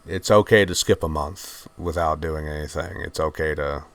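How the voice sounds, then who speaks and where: A man with a mixed Virginian and Georgian Southern accent, hosting his channel and talking in a subdued, halting, heartfelt way. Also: extremely low pitch, creaky. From Virginia, USA. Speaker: channel host. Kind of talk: reflective personal talk to camera.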